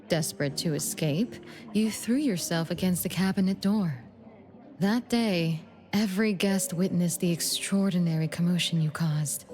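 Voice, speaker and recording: An actress playing an enigmatic shadow of an older sister in a video game, measured, knowing and gently condescending. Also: female voice, fairly low pitch, lightly acted. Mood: coolly recounting.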